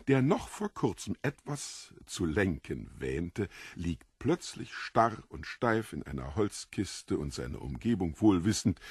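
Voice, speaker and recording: An actor playing a mysterious foreign stranger, the Devil in disguise, courteous and theatrical, relishing morbid details in an audiobook drama. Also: male voice, low voice, slightly rough, no echo, clean studio sound, full character voice.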